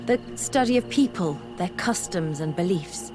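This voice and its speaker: An actress playing an earnest, bookish young female scholar in a video game, speaking in a measured, articulate way. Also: fairly low pitch, animated, close to an everyday voice. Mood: thoughtful, hopeful. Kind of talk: video game dialogue acting. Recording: music behind the voice.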